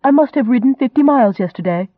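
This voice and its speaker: A man voicing a proud, sharp-tongued young Englishwoman in an audiobook, indignant and biting. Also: extremely high pitch, expressive, fast, dry recording, phone-quality sound, voice pushed up. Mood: furious.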